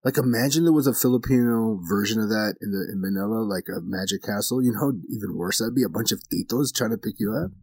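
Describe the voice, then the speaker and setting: Blunt, crude male storyteller in casual banter, husky. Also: fairly low pitch, quick pace, hardly any pauses, darkish tone. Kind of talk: casual chat.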